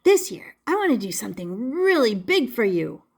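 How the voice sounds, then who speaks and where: A woman voicing an eager, affectionate little wooden boy in a storybook, with an excitable, earnest manner. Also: on the high side, normal speed, creaky, exaggerated voice. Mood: eager, adoring.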